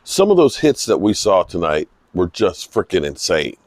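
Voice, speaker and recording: A man with a slightly hoarse voice giving unscripted, emphatic sports commentary, pausing mid-sentence for emphasis. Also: low voice, wildly swooping pitch, a little mellow, dry recording. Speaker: football vlogger giving post-game reaction. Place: outdoors in misty rain.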